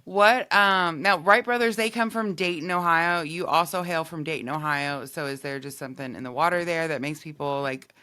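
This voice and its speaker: A woman's voice: low-pitched, normal speed, hardly any pauses, sharp tone, smooth. A woman hosting a podcast in casual comedic chat, with a playful, jokey manner and a readiness to laugh.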